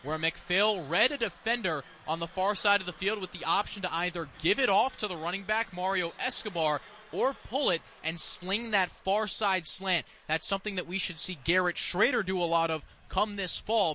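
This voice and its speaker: A man's voice: high voice, sharp tone. A man giving analytical color commentary on a live radio football broadcast, explaining plays and offering opinions, with stadium noise behind him.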